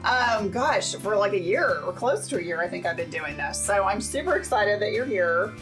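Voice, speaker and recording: A woman's voice: mid-range voice, expressive, fairly bright tone, soft background music, poor audio. Bubbly woman hosting a chat show. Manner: bubbly, enthusiastic, quick to laugh. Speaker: show host. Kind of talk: casual interview chat.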